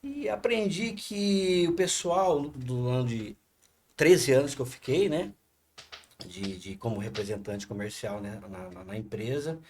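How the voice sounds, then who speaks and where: A man spinning anecdotes as a podcast guest, his voice sitting at an ordinary mid pitch. He talks in animated bursts, peppering every thought with little tag questions. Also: measured pace, a little hiss or hum. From Paraná, Brazil. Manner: animated anecdotal telling, frequent "né". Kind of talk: storytelling in casual conversation.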